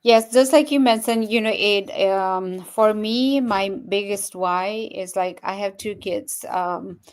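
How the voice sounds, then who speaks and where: Female guest on a video call, very slow pace, smooth clear voice. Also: mid-range voice. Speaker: panel guest. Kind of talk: casual talk on a video call.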